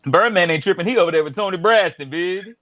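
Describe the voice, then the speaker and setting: A talkative man with a Southern US accent, co-hosting a relaxed chat about celebrity gossip. His voice sits high and bright, with lots of restarts and fillers, and the sound is dry and close with no room echo. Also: quick pace. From American South. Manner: chatty, many fillers and restarts. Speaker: podcast co-host.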